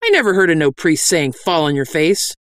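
A woman voicing a big, dim male bandit brute in a fantasy audiobook, blunt and belligerent with rough grammar. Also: low-pitched, big pitch swings, a little fast, dry recording. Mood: belligerent, petulant. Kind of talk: fantasy audiobook character acting.

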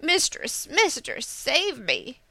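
A woman as a high, pleading little raccoon dog. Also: wildly swooping pitch, slow, creaky, sharp tone, no room sound, heavily acted. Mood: panicked pleading. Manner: pleading, urgent. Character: small frightened male-or-female raccoon dog spirit, pleading.

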